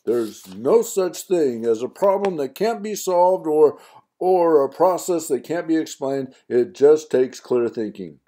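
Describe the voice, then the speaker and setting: A man voicing a calm, logical father in an audiobook, measured and hesitant. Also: a little high, voice pushed up. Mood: placating.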